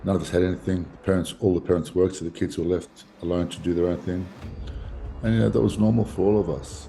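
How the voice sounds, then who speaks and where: Australian man, 60s, low gravelly dark voice, blunt rambling interviewee. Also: steady tone, fast, soft background music. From Melbourne, Australia. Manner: blunt, rambling, restarts often. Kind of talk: casual interview.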